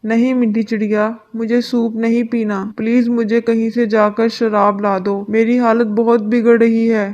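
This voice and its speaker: A woman's voice: a little high, flat delivery, moderate pace, hardly any pauses, a little mellow, lo-fi sound, close to an everyday voice. A woman voicing a blunt, demanding, drunken male pigeon husband in a cartoon story. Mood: pleading. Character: drunken, abusive male pigeon husband, whiny when sick. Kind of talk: Hindi cartoon story dubbing.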